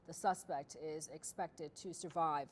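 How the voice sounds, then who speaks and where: A woman's voice: low voice, fairly even intonation, unhurried. An American woman working as a TV news anchor, reading scripted news narration. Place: TV news studio.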